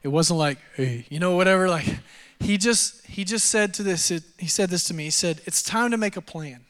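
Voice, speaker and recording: A pastor from Texas in his thirties preaches in a loose, conversational style, joking at his own expense and leaning on fillers. His voice sits high for a man and sounds smooth and resonant. A long reverb from a church hall trails each phrase. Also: moderate pace, a bit bright. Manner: conversational, self-deprecating storytelling with frequent fillers. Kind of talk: sermon with personal testimony. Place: church auditorium.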